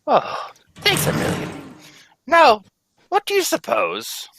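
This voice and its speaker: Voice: high voice, sing-song, takes it slowly, gravelly, noisy background, full character voice. A performer playing a brash, self-possessed single woman in an old-time radio mystery, snappy and theatrical. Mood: excited, impatient.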